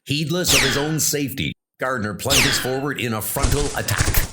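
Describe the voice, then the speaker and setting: A man's voice: medium pitch, normal speed, heavy background noise. A man giving dramatic, scripted narration of a comic-book adventure. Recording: music under the voice.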